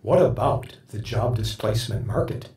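A man asking a scripted question through a spectral pitch-warping effect. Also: animated, normal speed, dark tone. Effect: pitch-warped, spectral shifting effect. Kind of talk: scripted question in a sample clip. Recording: roomy, echoing processed sample clip.

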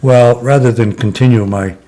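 An older man in his seventies from Connecticut, reminiscing slowly in a sit-down oral history interview, with many pauses and "uh" fillers. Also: mid-range voice, steady tone, warm tone, low-quality recording. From Connecticut, USA. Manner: slow, reflective, many "uh" pauses. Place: living room, seated in armchair.

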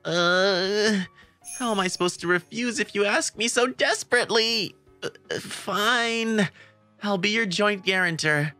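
A woman's voice: mid-range voice, unhurried, bright, forceful tone. A woman voicing a timid, kindhearted teenage boy who narrates his story and stammers when flustered, in a manga comic dub. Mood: flustered panic. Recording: background music under the voice.

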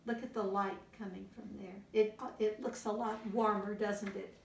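An older woman, around her sixties, reads a children's picture book aloud expressively, pausing to put questions to young listeners. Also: medium pitch, flat delivery, creaky, a little hiss or hum. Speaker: library story time reader. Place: library children's room, indoors. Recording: roomy, distant camera sound.

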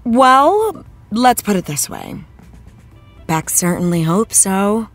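A woman's voice: medium pitch, very animated, takes it slowly, faint music behind, exaggerated voice. A woman playing a candid, playful actress being interviewed on a talk show, with a conversational, self-deprecating manner. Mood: joking, exasperated.

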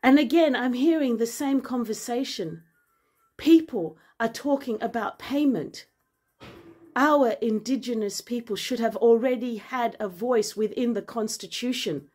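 A woman in her forties who grew up in Zambia, talking earnestly to a phone camera in a bright room with big windows, measured and then forceful. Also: on the high side. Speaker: vlogger and author. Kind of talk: impassioned personal address to camera. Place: indoor room with large windows and plants. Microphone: phone camera at arm's length.

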